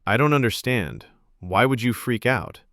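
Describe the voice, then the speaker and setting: A man voicing a casual, apologetic young love interest in a romance story, with a gentle way of talking. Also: medium pitch, animated, moderate pace, no echo, clean studio sound.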